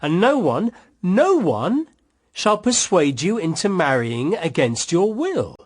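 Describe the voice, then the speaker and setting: An actor with a British accent playing a hearty, possessive husband with an emphatic, commanding way of talking, in radio drama style. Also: male voice, high voice, sing-song, slow pace, heavily acted. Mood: firm, possessive. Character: hearty, possessive husband protecting his sister-in-law.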